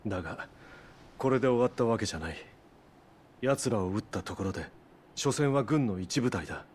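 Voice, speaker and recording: A man's voice: on the low side, expressive, brisk, a little mellow, slightly rough. A man playing a stoic, determined young noble warrior in a Japanese video game, speaking with restrained, terse resolve. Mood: stern.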